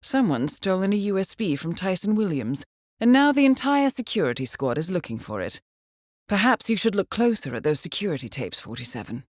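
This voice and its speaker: Cool, expressive woman handler. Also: mid-range voice, fairly quick, dry recording, clean studio sound. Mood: businesslike. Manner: cool, formal, precise, understated. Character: composed, dryly witty female intelligence handler briefing an assassin. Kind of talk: scripted video game mission briefing.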